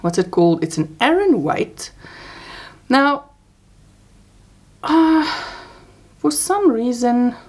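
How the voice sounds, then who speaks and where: A woman's voice: slow, long pauses. A woman in her forties from South Africa, with a South African accent and Afrikaans as her first language, chatting casually about her crochet projects in a small home craft room. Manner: chatty, animated pitch jumps, laughs often. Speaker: crochet vlogger. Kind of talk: casual show-and-tell chat.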